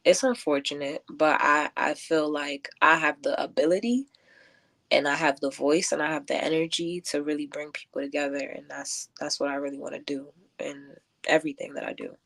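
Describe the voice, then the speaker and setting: A woman's voice: sits low, fairly even intonation, brisk, vocal fry, fairly bright tone. A woman talking earnestly and reflectively as a guest in a casual conversational interview. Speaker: interview guest, event curator and poet.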